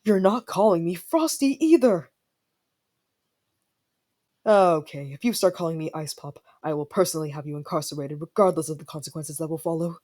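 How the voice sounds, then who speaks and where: A woman playing a proud young ice prince in an ASMR fantasy roleplay, haughty and stammering when flustered, then softening. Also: sits low, normal speed. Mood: indignant.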